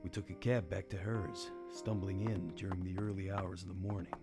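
An actor playing a world-weary, hard-drinking detective in a noir radio play, with wry, understated delivery. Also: male voice, sits low, steady tone, fairly quick, music in the background. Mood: wry, brooding. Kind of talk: noir radio drama narration.

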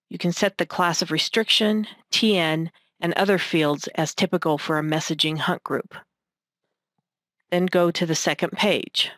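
A woman reading scripted narration for a technical demonstration in a measured, instructional manner. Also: lowish voice, dry recording, clean studio sound. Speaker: software tutorial narrator.

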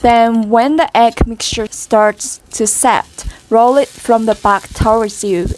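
A young woman in her twenties whose first language is Japanese, giving slow, careful step-by-step cooking instructions in a casual vlog from a small home kitchen. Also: a little high, steady tone, darkish tone, a little hiss or hum, rough recording. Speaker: cooking vlogger.

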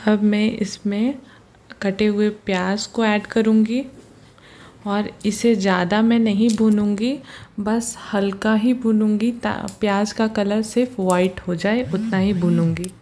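A woman's voice: medium pitch, steady tone, warm tone. A woman hosting a home cooking channel, patiently narrating each step of a recipe and often pointing things out to the viewer. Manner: slow, patient, repeats "ye dekhiye". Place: home kitchen.

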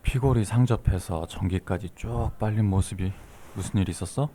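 A man playing a low-voiced fatherly boss. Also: normal speed, mellow, natural voice. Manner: gruff, fatherly, informal. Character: middle-aged male department boss, fatherly. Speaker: voice actor. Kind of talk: webtoon audio drama acting. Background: background music.

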